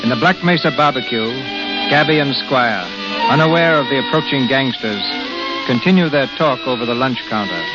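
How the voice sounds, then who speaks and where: Male radio announcer over loud music. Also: mid-range voice, moderate pace. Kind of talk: scripted 1930s-40s radio narration. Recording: muffled, hissy old radio recording.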